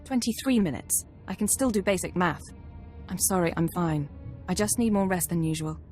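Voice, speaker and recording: A woman's voice: lowish voice, moderate pace, a little mellow, natural voice. Composed female intelligence operative, music behind voiced by an actress. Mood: tired, sincere. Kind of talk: cinematic video game acting.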